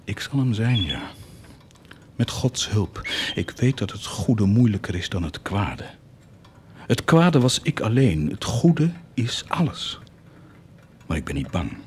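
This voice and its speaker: A fiery proud nobleman in a radio play, deepish voice that swings a lot, preachy and intense, bit of hiss. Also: very animated, slow, pauses often. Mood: fervent, exalted. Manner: declamatory, impassioned, swinging to hushed intensity. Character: fervent, proud nobleman zealot bent on doing good. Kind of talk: theatrical radio drama acting.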